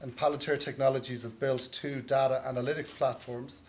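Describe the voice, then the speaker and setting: A man's voice: medium pitch, little pitch movement, fairly quick. Irish man chatting as panel moderator. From Ireland. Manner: chatty, light joking, frequent ums. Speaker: session moderator. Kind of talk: conference panel introduction. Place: conference hall.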